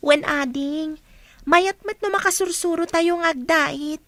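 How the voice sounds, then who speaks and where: A woman's voice: high voice, unhurried, pushed and bright, dry recording, exaggerated voice. A woman playing a dutiful, caring schoolgirl, the eldest daughter, in a radio drama. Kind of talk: Ilocano radio drama acting.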